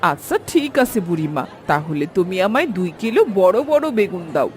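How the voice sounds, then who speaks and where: A man's voice: high voice, sing-song, a little fast, slight background noise. A man voicing a curious, agreeable village market customer in a fairy tale cartoon. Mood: interested. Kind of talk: animated Bengali fairy tale cartoon acting.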